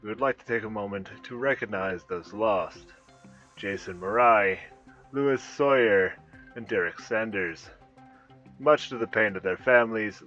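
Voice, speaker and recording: A man acts out a solemn male news anchor in a horror audio drama. The delivery is formal and measured, coming through dull, lo-fi broadcast sound with music underneath. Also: medium pitch, a bit bright, lightly acted. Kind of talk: scripted news bulletin acting.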